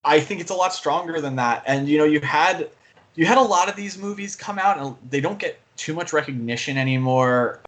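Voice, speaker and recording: A man's voice: a little high, a little fast, fairly bright tone. A man co-hosting a podcast, talking casually about movies in an enthusiastic, rambling way. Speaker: podcast co-host and film fan.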